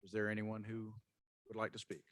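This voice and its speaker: A man chairing a city committee meeting, running motions and votes in a measured, procedural way. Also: lowish voice, little pitch movement, fairly bright tone, dry recording, clean studio sound.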